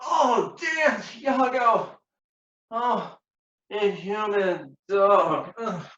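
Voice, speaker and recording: A man's voice: high voice, drawn-out words, fairly bright tone, pitched above the performer's own voice, exaggerated voice. A man playing a dying young villain in a stage tragedy, wailing, blubbering and stammering in agony. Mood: pained reproach. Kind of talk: hammy Shakespeare play acting. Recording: muffled, roomy echo.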